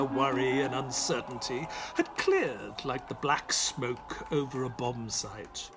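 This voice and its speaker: A man playing a polite, inquisitive English doctor in an audio drama, expressive and well-mannered. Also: a little high, a little hiss or hum. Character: polite, inquisitive English doctor narrating his detective adventures. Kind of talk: scripted first-person audio drama narration.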